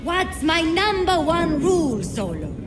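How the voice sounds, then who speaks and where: An actress playing a tiny old alien tavern keeper, sly, bossy and scolding, in a game. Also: female voice, sits low, lively intonation, a little slow, over music. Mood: stern. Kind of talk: cinematic game dialogue.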